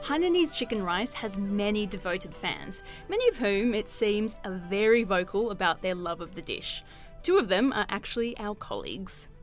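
A woman co-hosting a food podcast, reading scripted narration in a measured, explanatory way. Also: mid-range voice, expressive, music in the background. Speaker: podcast co-host.

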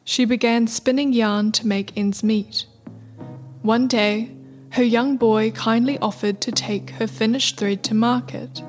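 A woman's voice: on the high side, fairly even intonation, unhurried. A woman narrator reading a scripted radio play in a storybook style. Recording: faint music under narration.

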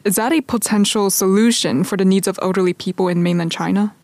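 A woman hosting a podcast, putting measured, articulate journalistic points and questions to a guest. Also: mid-range voice, steady tone, darkish tone, dry recording. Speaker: podcast host, interviewer. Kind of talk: scripted narration and interview questions.

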